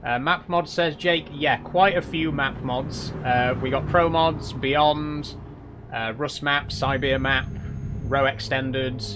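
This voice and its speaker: A man's voice: mid-range voice, takes it slowly, background noise. Laid-back South Yorkshire man chatting. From Doncaster, South Yorkshire, England. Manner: relaxed, reads chat questions aloud then answers with many "uh" fillers. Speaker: streamer reading chat. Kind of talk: casual live-stream chat while driving a truck sim.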